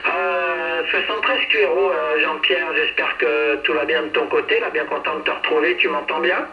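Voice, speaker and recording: A man's voice: fairly high pitch, moderate pace. A man talking casually and hesitantly over two-way radio, in a chatty, friendly way. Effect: two-way radio transmission. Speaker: amateur radio operator. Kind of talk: casual radio contact chat. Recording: roomy, distant, dull noisy radio transmission.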